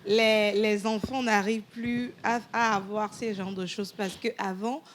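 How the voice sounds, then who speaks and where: A woman on a studio panel reminiscing in Togolese-accented French, speaking in a measured, reflective way. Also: medium pitch, fairly even intonation, a little hiss or hum. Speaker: talk show panelist.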